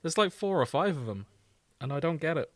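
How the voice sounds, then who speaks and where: A man's voice: a little high, lively intonation, fairly quick. A British man co-hosting a podcast in casual comedic chat, irreverent, rambling and sweary. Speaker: podcast co-host.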